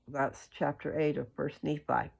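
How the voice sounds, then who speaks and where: A person with a creaky, gravelly voice reads and explains scripture in an informal, folksy, rambling way, often checking in with the listener. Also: medium pitch, fairly even intonation, unhurried, low-quality recording. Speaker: host reading scripture aloud and explaining it. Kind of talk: scripture read aloud with casual, rambling commentary.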